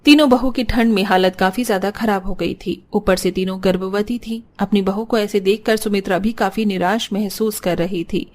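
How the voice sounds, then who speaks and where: A woman's voice: mid-range voice, steady tone, moderate pace, hardly any pauses. A woman giving scripted narration for a cartoon story.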